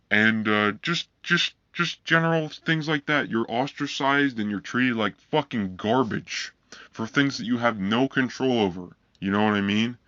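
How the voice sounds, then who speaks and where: Flat-voiced man ranting angrily. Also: mid-range voice, no room sound. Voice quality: flat monotone voice. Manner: profane, heated, repetitive. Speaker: vlogger. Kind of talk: angry ranting monologue. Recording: dull lo-fi dry recording.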